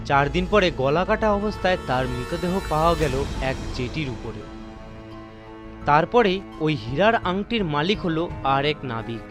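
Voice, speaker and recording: A man reading a horror story as narrator, with deliberate, theatrical, suspenseful delivery. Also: a little high.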